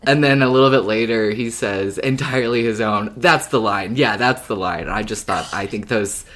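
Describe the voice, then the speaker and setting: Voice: mid-range voice, normal speed, fairly bright tone. Playful podcast co-host, mid-range voice. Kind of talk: casual podcast recap and chat.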